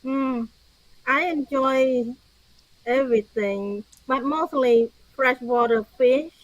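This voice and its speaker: A woman's voice: high voice, slow pace, no echo, dull audio. An older woman from Cambodia with a Cambodian accent, answering conversationally as an interview guest. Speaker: podcast guest, author and speaker.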